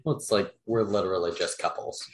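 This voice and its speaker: Hesitant young man with a low voice. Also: fairly even intonation, a little fast. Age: young adult. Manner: hesitant, many "um"s and restarts. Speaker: podcast guest. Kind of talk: casual chat.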